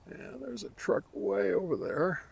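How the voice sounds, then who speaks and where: Man giving a halting hands-on gear test. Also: high voice, huge pitch swings, creaky, darkish tone, husky. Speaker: host of a ham radio gear review channel. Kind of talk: casual product test narration.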